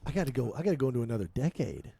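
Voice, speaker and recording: A man's voice: medium pitch, big pitch swings, rapid-fire, vocal fry, mellow, husky, no echo, studio quality. Laid-back man in his forties. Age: 40s. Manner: laid-back, short agreeing replies. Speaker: podcast co-host. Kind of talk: casual chat.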